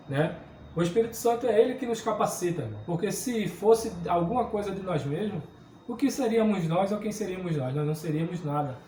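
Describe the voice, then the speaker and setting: A man's voice: high voice, warm tone, reverberant, recorded from a distance, a little hiss or hum, rough recording. A man from southern Brazil teaching a Sunday school Bible lesson, earnest and explanatory, often checking in with his listeners. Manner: earnest teaching, frequent tag questions. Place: church room.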